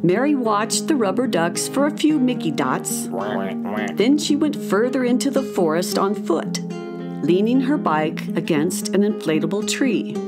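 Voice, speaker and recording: A woman narrating a scripted children's story in an expressive, carefully phrased storytelling manner. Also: mid-range voice, measured pace, over loud music.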